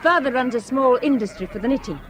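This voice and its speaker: Young Shetland archaeology graduate, light Shetland Scots accent, earnest and eager, high lively voice, faint hiss. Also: female voice, fast talker. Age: young adult. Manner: earnest, enthusiastic, self-deprecating. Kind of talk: 1960s radio drama acting.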